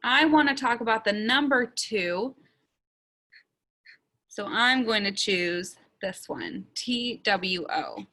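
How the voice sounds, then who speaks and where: Slow, bright-voiced woman teaching children. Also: a little high. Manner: sounds out words slowly, stretching letter sounds. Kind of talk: slow, step-by-step writing lesson for young children.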